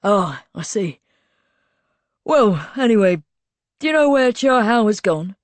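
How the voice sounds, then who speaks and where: A woman's voice: medium pitch, sing-song, slow pace, no room sound, pitched above the performer's own voice. A woman voicing a courteous male director. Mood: polite. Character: polite middle-aged male institute director.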